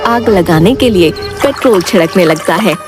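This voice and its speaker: A woman narrating an animated moral tale as scripted storytelling. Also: on the low side, normal speed. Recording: music behind the voice.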